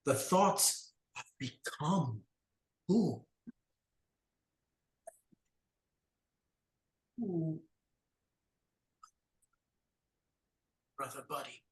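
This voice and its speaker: Man playing a whimsical shepherd, soft and hushed, lively pitch, slightly high. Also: slow pace, roomy sound, low-quality recording. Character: dreamy, instinct-driven younger shepherd brother. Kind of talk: staged play reading.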